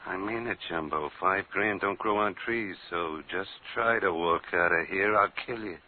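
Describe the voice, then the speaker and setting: A man's voice: low voice, normal speed, narrow, tinny audio. An actor playing a menacing, impatient gangster in a 1950s radio crime drama, with slangy tough-guy talk. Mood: threatening. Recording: hissy, band-limited old radio broadcast.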